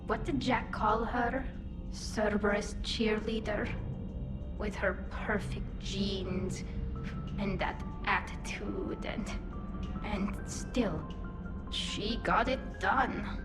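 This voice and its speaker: An actress voicing a tipsy young alien woman in a video game, halting and rambling, sardonic and sentimental. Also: mid-range voice, steady tone. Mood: tipsy, rueful. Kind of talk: video game dialogue acting. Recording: roomy, with loud music behind.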